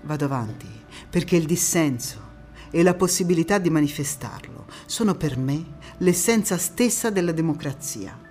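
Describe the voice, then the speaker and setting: A woman acting a defiant, idealistic partisan turned politician in an emphatic, deliberate theatrical monologue. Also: sits low, lively intonation, a little mellow, faint music behind. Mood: resolute.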